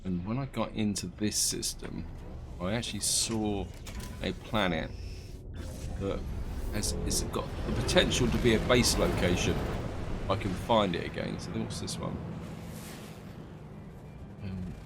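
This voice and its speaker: Low gravelly man narrating gameplay. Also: measured pace, long pauses, background noise. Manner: rambling, thinking aloud, self-correcting. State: slightly croaky from allergies. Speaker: gaming video host. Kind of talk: casual gameplay commentary.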